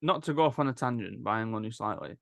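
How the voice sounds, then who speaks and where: A man's voice: medium pitch, animated, racing, vocal fry, no echo, studio quality. A man hosting a casual podcast discussion in a conversational way. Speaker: podcast host. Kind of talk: casual chat.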